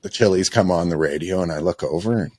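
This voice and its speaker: A Canadian man hosting a podcast in a studio, talking in an energetic, joking, confessional way. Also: deep voice, quick pace. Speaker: podcast host. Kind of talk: casual podcast chat.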